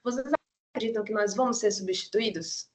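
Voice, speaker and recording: A woman's voice: medium pitch, steady tone, a little fast. A middle-aged woman with a Ceará accent gives an online nursing lecture in a chatty way, often adding tag questions. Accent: Ceará, Brazil. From Ceará, Brazil. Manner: conversational, many "né" tag questions. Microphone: video call.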